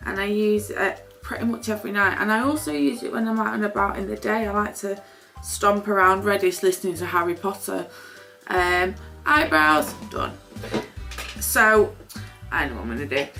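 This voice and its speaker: Female British vlogger, medium pitch, informal and unscripted, rambling self-deprecating chat, music behind. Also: a bit bright. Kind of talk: casual unscripted chatty get-ready-with-me.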